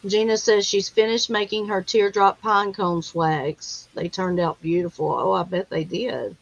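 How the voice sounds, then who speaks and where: A woman's voice: medium pitch, fairly even intonation, a little slow, highs cut off. A woman hosting a casual live-stream chat, talking in an everyday conversational way.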